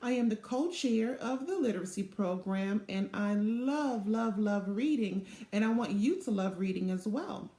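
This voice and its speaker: Woman, middle-aged, storybook reading, unhurried pace, animated melody, roomy sound. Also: a little high. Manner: expressive, deliberate storytelling. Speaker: literacy program co-chair reading aloud. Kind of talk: children's storybook read-aloud. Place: small indoor office or home room. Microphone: device mic at arm's length. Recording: echoey room sound.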